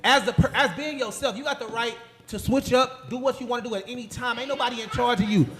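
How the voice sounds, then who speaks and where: A man hosting a podcast in a casual group chat, blunt and animated, often talking over the others. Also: very high-pitched, fast talker, slight background noise. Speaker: podcast host.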